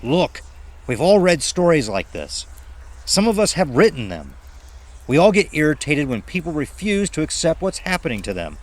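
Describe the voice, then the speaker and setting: A man acts out a commanding male leader in a horror audiobook. He is earnest and insistent, with his voice raised in pitch and lively in inflection. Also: moderate pace. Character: steady, commanding man, leader of frightened friends.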